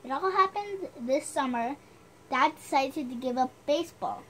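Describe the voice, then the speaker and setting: High voiced lady reading a story book out loud, chatty, trips on words, bit of background noise. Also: expressive, normal speed. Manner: chatty, self-deprecating, stumbles over words while reading. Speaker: host reading a storybook aloud. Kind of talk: storybook reading with casual asides.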